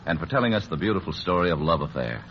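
A man's voice: deep voice, very animated, quick pace, a little hiss or hum. A man announcing a 1940s radio show from a script in a formal, polished showman's delivery. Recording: dull vintage radio recording, music behind.

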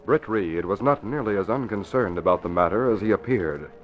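A man's voice: fairly low pitch, expressive, fairly quick. A man narrating a scripted 1940s radio serial as its announcer, formal and dramatic. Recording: muffled, noisy vintage radio recording.

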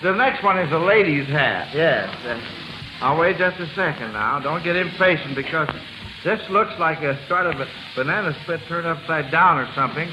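A man's voice: on the high side, moderate pace. A man hosting an old-time radio quiz in a chuckling, fumbling, schoolmasterly way. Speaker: quiz show host. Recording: noisy, crackling old radio broadcast. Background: steady loud hiss and crackle.

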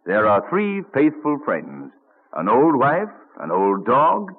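An actor playing a loyal, wry colonial gentleman in a teasing, hearty old radio drama style. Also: medium pitch, huge pitch swings, slow pace, husky, heavily acted. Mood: amused. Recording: muffled narrow-band vintage radio recording.

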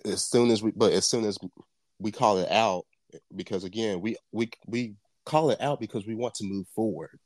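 A man's voice: lowish voice, moderate pace, darkish tone, no room sound. A man co-hosting a casual podcast chat, talking in a sassy, blunt and profane way. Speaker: podcast co-host.

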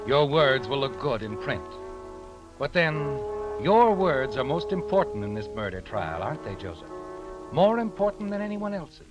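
A man's voice: mid-range voice, sing-song, normal speed, close to an everyday voice, rough recording. An actor playing a sinister, all-knowing male mystery narrator, ominous and taunting in old radio style. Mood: sly taunting. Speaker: story narrator. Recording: old radio recording with music behind.

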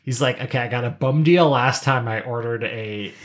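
Podcast co-host, medium pitch, casual discussion, explanatory, joking asides. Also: normal speed. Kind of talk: casual pop-culture discussion.

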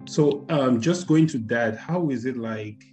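A man's voice: medium pitch, unhurried, a little mellow, faint music behind. A man hosting a podcast, asking his guest loose, meandering questions. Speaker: podcast host. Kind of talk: casual podcast interview.

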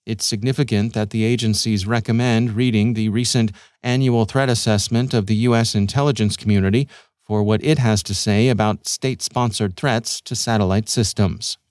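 A man narrating scripted news as a podcast host, measured and articulate with a dryly wry manner. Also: mid-range voice, a little mellow, no echo, clean studio sound.

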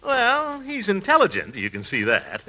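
A portly, blustery uncle in a forties radio comedy. His voice is very bright and swoops up and down as he chuckles and stammers in fluster. The old broadcast recording is muffled. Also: high voice, huge pitch swings, normal speed, exaggerated voice. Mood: sheepish, courting. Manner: hemming and hawing, flustered. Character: pompous, bumbling, portly uncle running for office. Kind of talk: 1940s radio comedy acting.

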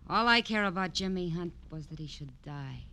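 Brittle, mocking femme, very sharp, highly animated, theatrical radio crime style. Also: female voice, normal speed. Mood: bitter, vengeful. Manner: sardonic, theatrical. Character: bitter, brittle, mocking hard-boiled dame. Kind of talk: old-time radio crime acting.

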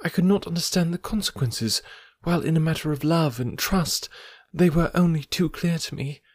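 A troubled young noblewoman, as a male audiobook reader puts her on, speaks in an earnest, measured way. The voice sits a little higher than the reader's own. The close, dry recording leaves no room sound around it. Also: no room sound, natural voice. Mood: distressed. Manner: earnest, measured, softened delivery. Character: anxious noble young English lady, confessing. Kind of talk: audiobook character reading.

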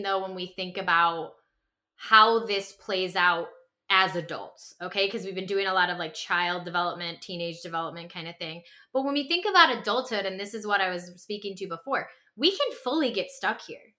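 A woman's voice: mid-range voice, moderate pace. A woman co-hosting a podcast, explaining ideas casually and emphatically with rhetorical questions. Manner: animated, emphatic, uses rhetorical questions and acted-out quotes. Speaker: podcast co-host.

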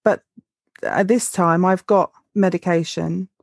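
A woman's voice: lowish voice, fairly even intonation, measured pace, gravelly, no echo, clean studio sound. A woman in her thirties co-hosting a podcast, speaking frankly and openly in casual, heartfelt conversation with a wry edge. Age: 30s. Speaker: podcast co-host.

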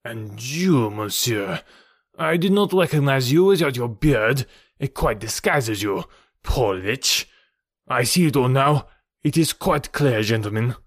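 A man's voice: medium pitch, lively intonation, a little slow, no echo, studio quality. A man voicing a proud French count in an audiobook, formal and dramatic, indignant yet courtly. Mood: shocked, accusing. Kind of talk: audiobook character voice.

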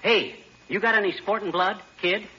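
An American man playing a brash, folksy middle-aged husband and fast-talking schemer in 1940s radio comedy style. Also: high-pitched, steady tone, lightly acted. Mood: cajoling. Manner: folksy, slangy, swooping delivery. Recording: dull, noisy old 1940s radio recording.

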